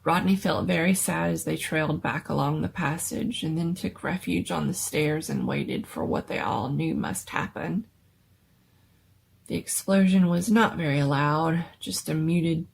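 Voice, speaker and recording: A woman's voice: low-pitched, unhurried, gravelly, slight background noise. A woman giving a dramatic reading of a scary story as its storyteller.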